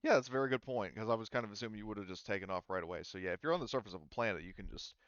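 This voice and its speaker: A man working as game master, casually explaining tabletop game rules in a halting, rambling way. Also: lowish voice, a bit bright, dry recording, lo-fi sound.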